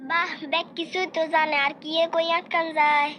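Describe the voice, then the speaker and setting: A woman's voice: extremely high pitch, level tone, normal speed, pushed and bright, slight background noise. A woman with a Noakhali Bengali accent voicing a nosy, haughty young sister in a comic cartoon. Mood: testing. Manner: interrogating, haughty. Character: nosy, snobbish young sister grilling a prospective bride.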